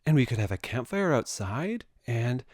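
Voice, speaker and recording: A man's voice: mid-range voice, big pitch swings, moderate pace, a little mellow, no room sound, clean studio sound. A man voicing a caring, friendly young turtle in a children's storybook. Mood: eager.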